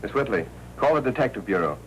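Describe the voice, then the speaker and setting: An actor playing a brisk, hard-nosed American newspaperman in a 1940s crime film, speaking in a clipped, commanding way. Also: medium pitch, very animated, close to an everyday voice. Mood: urgent, firm. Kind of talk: 1940s film noir acting. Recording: noisy 1940s film soundtrack.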